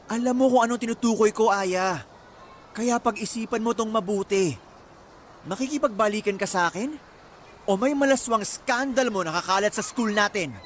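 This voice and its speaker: A man's voice: high-pitched, moderate pace, slight background noise, lightly acted. A man playing a jealous, possessive young ex-boyfriend in a radio drama, insistent and pressuring. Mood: menacing. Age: young adult. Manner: pleading, insistent. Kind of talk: Tagalog radio drama acting.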